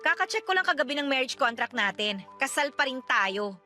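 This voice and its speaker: Hurt, clinging wife for radio drama; high, tense voice, pleading and emotional. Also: female voice, sing-song, brisk, bright, forceful tone, soft background music, heavily acted. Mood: hurt, defiant. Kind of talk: radio drama dialogue in Tagalog.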